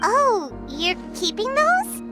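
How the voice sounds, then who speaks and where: A tiny floating fairy companion from a video game, squeaky and childlike. The voice is extremely high and swings widely in pitch. She chatters in excitable exclamations over prominent music. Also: slow pace, heavily acted. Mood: amazed. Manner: speaks of herself in third person, exclamatory.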